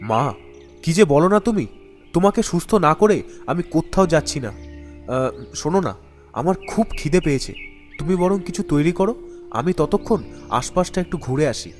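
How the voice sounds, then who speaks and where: A man voicing an earnest young student son in an animated ghost story, with an emotive, pleading delivery. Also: fairly high pitch, brisk, pauses often, faint music behind, lightly acted. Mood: affectionate, eager.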